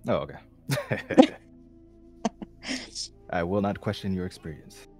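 Deep-voiced laid-back man. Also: slow, soft background music. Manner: laid-back, rambling, laughing. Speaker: class participant. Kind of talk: casual group call chat.

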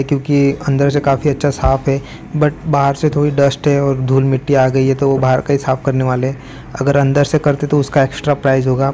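A man's voice: mid-range voice, warm tone, slight background noise. A young man vlogging casually at an outdoor car wash, explaining each step in a chatty way with lots of fillers. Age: young adult. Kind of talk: casual vlog narration.

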